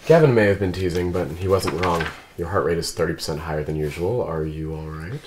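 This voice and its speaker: A man acting a calm, precise android detective in a scripted drama read, speaking in a measured, formal way. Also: low-pitched, background noise, close to an everyday voice. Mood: composed. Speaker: actor reading an android detective at a table read.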